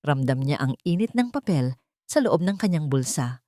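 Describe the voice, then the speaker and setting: A woman's voice: low-pitched, very animated, moderate pace, dark tone, no room sound, clean studio sound. A woman narrating a dramatic scripted love story as an audiobook.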